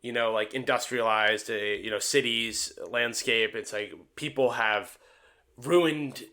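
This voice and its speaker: A man with a bright, forward voice of average pitch talks casually on a podcast. He is wordy and likes to repeat a phrase to drive it home. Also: steady tone, takes it slowly. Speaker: podcast participant.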